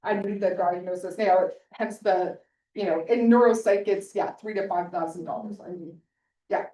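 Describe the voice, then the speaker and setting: A woman's voice: mid-range voice, fairly even intonation, moderate pace, mellow. A middle-aged woman panelist answering audience questions in a chatty, self-interrupting way with frequent laughs. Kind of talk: panel discussion Q&A. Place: conference hall. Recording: roomy, distant room mic.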